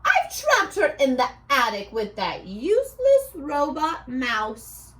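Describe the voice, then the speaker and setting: A woman's voice: high-pitched, wildly swooping pitch, slow pace, a bit bright, full character voice. A woman voicing a wicked, scheming stepmother in a children's storybook, with dramatic delivery. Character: wicked scheming stepmother and her screeching daughter.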